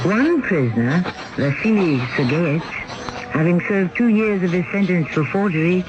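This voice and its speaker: A man hosting and narrating a radio drama in a solemn, literary style with deliberate, dramatic phrasing. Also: fairly high pitch. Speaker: host and narrator. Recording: muffled old radio, music behind.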